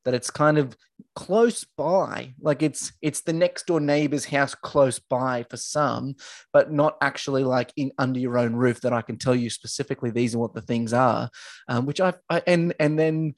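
A man's voice: medium pitch, no room sound, studio quality. An enthusiastic male podcast host. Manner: enthusiastic, halting with many fillers. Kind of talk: conversational interview.

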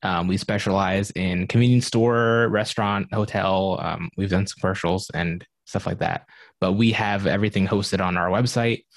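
A man on a podcast panel, explaining in a relaxed, practical way how he prepares guests. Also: sits low, moderate pace, no room sound. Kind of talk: casual panel chat.

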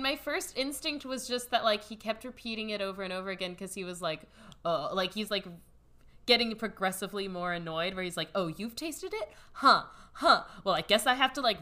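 A woman's voice: medium pitch, expressive, fairly quick, sharp tone. A young woman co-hosting a podcast, bubbly and upbeat, talking casually with lots of "like". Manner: bubbly, upbeat, says "like" a lot. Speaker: podcast co-host. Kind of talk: casual chat.

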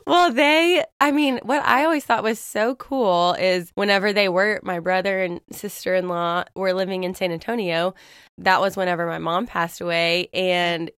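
A woman's voice: fairly low pitch, normal speed, a bit bright, smooth, no echo. Casting: upbeat woman podcast host, eager questions, close dry sound. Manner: enthusiastic, affectionate, asks questions. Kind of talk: casual interview chat.

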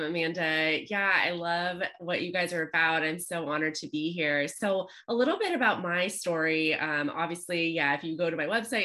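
A woman's voice: lowish voice, bright, forceful tone. A woman from Los Angeles, a relationship coach, telling her story in a chatty, upbeat podcast interview. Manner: chatty, upbeat, frequent fillers.

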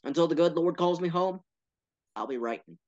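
A man talking as a podcast guest about his work, earnest and animated, with playful asides. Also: fairly high pitch, fairly quick, muffled recording. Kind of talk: casual interview talk.

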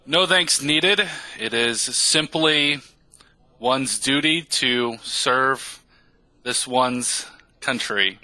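A stiff, ceremonious army colonel who speaks of himself in the third person, as a man puts him on. His tone is very bright and tense, and the sound is dry and close, in a tabletop game. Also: mid-range voice, drawn-out words, no echo, exaggerated voice. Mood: formal. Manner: stiff formality, refers to self in third person.

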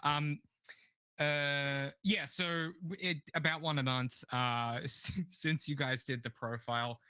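A man's voice: a little high, steady tone, slow, bright, forceful tone, clean tone, no echo. A man co-hosting a comedy podcast, talking in a rambling, self-deprecating way. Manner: rambling, self-deprecating, full of fillers and restarts. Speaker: podcast co-host. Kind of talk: casual comedic chat.

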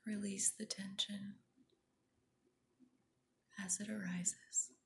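A woman guiding a meditation in a soft, breathy near-whisper, gentle and soothing. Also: medium pitch, little pitch movement, slow pace. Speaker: meditation guide, podcast host. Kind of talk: guided meditation.

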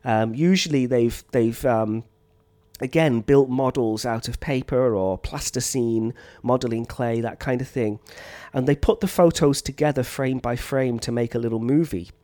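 A man's voice: medium pitch, moderate pace. Man with a slight chest cough. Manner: relaxed, unscripted, frequent ums and restarts. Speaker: science teacher. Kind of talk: teacher training talk.

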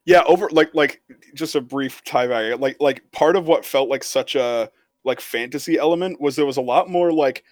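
A man's voice: mid-range voice, moderate pace, dry recording, clean studio sound. A man chatting casually as a podcast panelist, with a giggly way of retelling things. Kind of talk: casual chat.

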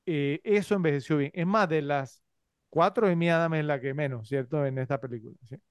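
A man's voice: on the high side, fairly quick, smooth, no room sound. A man co-hosting a movie podcast, rambling casually with many fillers and tag questions. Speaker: podcast co-host. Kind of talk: casual movie review chat.

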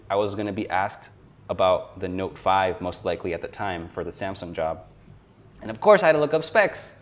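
A young man with a fairly low voice chats as a guest on a tech podcast. He is from South Florida and talks in a loose, rambling way, with frequent laughs and filler words. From South Florida, USA. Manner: relaxed, rambling, laughs often. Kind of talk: casual conversation.

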